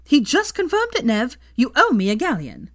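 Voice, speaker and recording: A woman voicing a brash, excitable young woman who teases and gossips smugly in an audiobook-style story. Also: on the high side, moderate pace, pitched above the performer's own voice. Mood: gleeful. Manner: excitable, smug, foul-mouthed.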